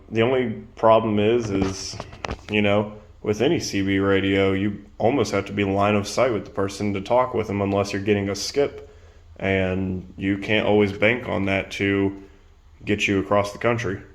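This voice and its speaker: A man giving a casual product demonstration, explaining things in a plain-spoken way as a reviewer. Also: lowish voice. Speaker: presenter reviewing radio gear. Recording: clear background noise.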